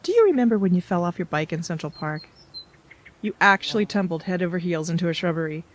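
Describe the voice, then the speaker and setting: A woman's voice: lowish voice, animated, fast talker. An actress playing a plain-spoken female companion in an audio drama. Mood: tender reminiscence.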